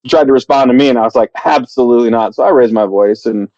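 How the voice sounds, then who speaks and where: A man's voice: mid-range voice, quick pace, warm tone, no room sound, lo-fi sound. A man chatting casually on a podcast, telling a long story with lots of fillers and restarts. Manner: rambling, many fillers and restarts. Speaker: podcast co-host.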